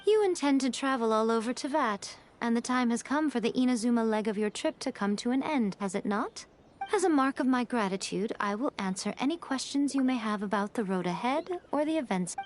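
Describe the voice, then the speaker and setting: A woman's voice: on the high side, expressive, slight background noise, close to an everyday voice. A woman voicing a sly, poised shrine maiden in an anime game, speaking with coy, teasing formality. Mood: gracious. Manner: coy, ornate formal phrasing, unhurried teasing. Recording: game music playing behind.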